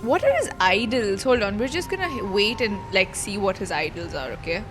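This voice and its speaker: A woman's voice: medium pitch, big pitch swings, fairly quick. A woman streamer giving casual live reaction commentary on a game, chatty, informal and exclamatory, with game music behind her.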